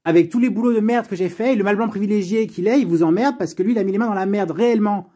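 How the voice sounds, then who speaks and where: High-voiced, exasperated man ranting. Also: very fast, warm tone. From France. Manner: exasperated, sarcastic, blunt, swearing. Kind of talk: unscripted personal rant.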